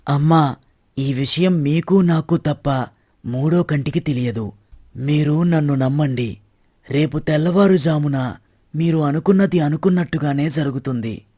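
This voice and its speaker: A man's voice: fairly high pitch, measured pace, mellow, dry recording, studio quality. A man playing a loyal, conspiring royal minister, deferential and emphatic in reassuring his queen.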